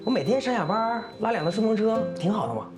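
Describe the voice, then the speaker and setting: A man's voice: high voice, very animated, fast talker, over music. A man playing an optimistic, coaxing young husband in a screen drama, colloquial. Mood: eager, reassuring. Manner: coaxing, repetitive pleading, colloquial. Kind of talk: naturalistic screen drama acting.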